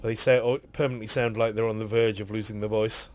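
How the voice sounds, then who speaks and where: A man with a husky, hoarse voice from a cough, rambling casually while streaming a game and reading item text aloud. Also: fairly low pitch, flat delivery, fast.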